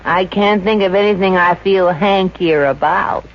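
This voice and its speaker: A woman's voice: fairly low pitch, a little slow, a little hiss or hum. A woman playing a wisecracking, friendly young Brooklyn showgirl with an American accent in a 1950s radio comedy, wry and slangy. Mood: curious, skeptical. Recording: muffled old radio recording with music.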